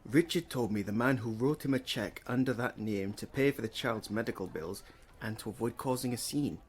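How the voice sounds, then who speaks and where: An actor playing a kindly, concerned Victorian gentleman lawyer, speaking in a measured, earnest way in a stage drama. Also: mid-range voice, a little hiss or hum, close to an everyday voice. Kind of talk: period stage drama acting.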